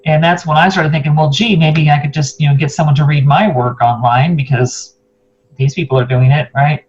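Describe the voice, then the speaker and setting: A woman's voice: low voice, fairly even intonation, a little fast, a little mellow, breathy edge. A woman hosts an interview in a casual, musing style. Her speech is informal and conversational, and the recording sounds slightly dull and lo-fi. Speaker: host, interviewer.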